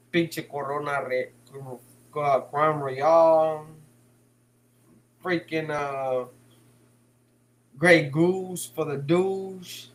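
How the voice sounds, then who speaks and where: A man's voice: on the high side, fairly bright tone. Slangy Bay man on a stream. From San Francisco Bay Area. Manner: slangy, swears, laughs a lot. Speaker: live stream host. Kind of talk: casual live chat reading comments.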